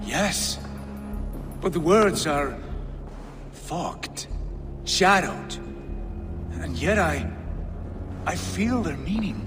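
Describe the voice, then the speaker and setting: An actor playing an awestruck, prophetic Viking leader in a video game, speaking in a halting, portentous way. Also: male voice, fairly high pitch, expressive. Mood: entranced, reverent. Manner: slow, portentous, halting delivery. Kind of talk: dramatic video game cutscene acting. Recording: long reverb under loud music.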